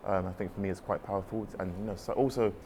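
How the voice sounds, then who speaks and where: Fast-talking deep-voiced man. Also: fairly even intonation, a little mellow, slight background noise. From London, England. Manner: rambling, hedging with "sort of" and "kind of". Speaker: artist. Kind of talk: casual interview answers. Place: art exhibition space.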